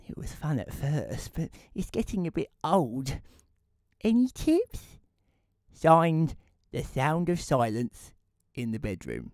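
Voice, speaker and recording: A woman playing a magazine reader voicing her letter to an agony aunt in a theatrical, drawn-out way for an audio play. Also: deep voice, a little mellow, no echo, studio quality.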